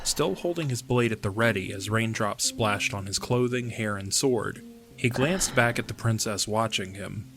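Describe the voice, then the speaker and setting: A man's voice: mid-range voice, fairly bright tone. A man reading scripted third-person narration for a fantasy audio drama.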